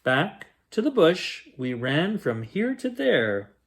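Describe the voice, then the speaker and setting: A man reading a rhyming picture book aloud as a bedtime story, in a gentle, expressive storytelling manner. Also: fairly high pitch, takes it slowly. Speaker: children's bedtime story reader.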